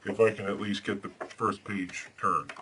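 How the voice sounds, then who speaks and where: A man playing Batman as an earnest, deadpan superhero host who chats casually and reads storybooks aloud, with some hesitation. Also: on the low side, moderate pace, slight background noise, pitched below the performer's own voice, close to an everyday voice. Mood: chatty, curious.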